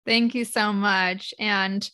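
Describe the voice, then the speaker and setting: A woman's voice: medium pitch, steady tone, slow, bright, forceful tone, no echo. A woman of about forty speaking enthusiastically as a podcast host. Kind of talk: upbeat podcast introduction and hosting.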